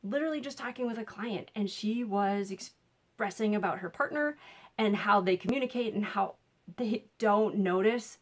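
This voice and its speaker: A woman's voice: mid-range voice, normal speed. Chatty Minnesotan woman coach. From Minnesota, USA. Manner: chatty, upbeat, with little laughs and fillers. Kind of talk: casual direct-to-camera talk.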